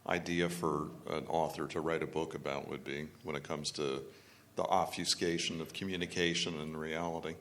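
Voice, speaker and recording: A man in the audience asking a deliberately worded question. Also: a bass voice, flat delivery, fairly bright tone, slight background noise.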